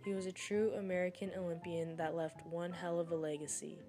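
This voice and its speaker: Young woman reading a sports script, lowish voice, music going behind her. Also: fairly even intonation, fairly quick. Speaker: sports segment presenter. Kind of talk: scripted narration.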